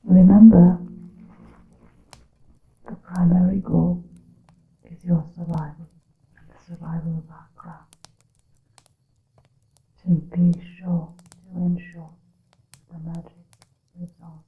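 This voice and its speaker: A woman playing a solemn witch in a whispered ASMR roleplay, speaking in halting, deliberate phrases through a lo-fi filter. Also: on the low side, little pitch movement. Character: solemn woman witch urgently counselling a fellow witch. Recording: muffled phone-like lo-fi with echo.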